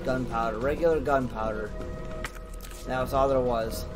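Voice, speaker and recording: A man with a medium-pitched voice commentates casually on a video game. He thinks aloud in short remarks, with clear game music playing behind him. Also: steady tone, moderate pace. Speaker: let's player. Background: music and game sounds.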